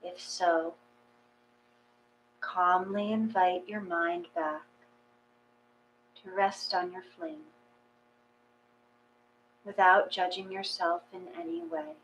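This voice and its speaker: A woman reading a guided meditation in a gentle, measured, calming way. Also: medium pitch, low-quality recording. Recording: clear mains hum.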